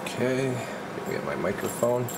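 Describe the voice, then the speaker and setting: Relaxed man testing radios. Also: mid-range voice, creaky, heavy background noise. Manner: relaxed, halting, talks while working. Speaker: radio repair technician. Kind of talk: casual workshop demonstration. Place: radio workshop.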